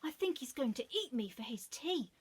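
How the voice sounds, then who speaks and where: A woman voicing a small, frightened little boy in a children's story, high and worried. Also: sing-song, normal speed, husky, heavily acted.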